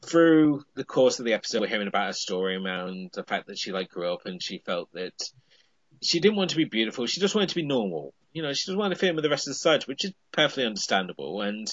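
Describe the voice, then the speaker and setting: A man's voice: fairly low pitch, very animated, quick pace, a bit bright, dry recording, dull audio. A man on a podcast, casually retelling a television episode with frequent "I mean" fillers.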